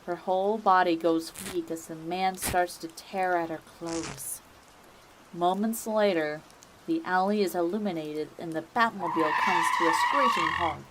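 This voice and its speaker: Woman, lowish pitch, scripted dramatic narration, storyteller, faint background noise. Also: normal speed.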